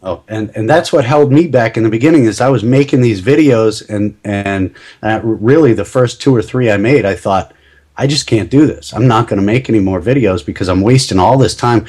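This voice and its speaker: A middle-aged man with a slightly low voice, chatting as a podcast guest. He is talkative and self-deprecating and laughs at his own jokes. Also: brisk, a little mellow, husky. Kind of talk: casual interview chat.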